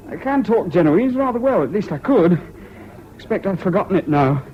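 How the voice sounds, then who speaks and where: Highly strung, excitable young English bridegroom. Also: male voice, normal speed. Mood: giddy. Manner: stammering, pleading, excitable. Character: Jason, highly strung, needy bridegroom and war veteran. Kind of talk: 1970s British radio drama acting. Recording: dull old radio recording with faint noise.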